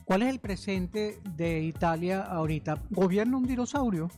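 A man's voice: high-pitched, normal speed, darkish tone. A man with a Venezuelan accent hosting a radio interview in an informal, chatty way, often interjecting. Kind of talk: conversational radio interview from a book fair. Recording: music under the voice.

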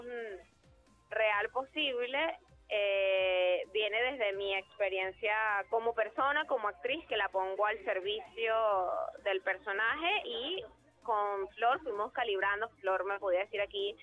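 Earnest actress on a phone line. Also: female voice, mid-range voice, dry recording. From Venezuela. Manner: earnest, thoughtful, with many hesitations. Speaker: actress, interview guest. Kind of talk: phone interview. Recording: tinny phone line with faint music.

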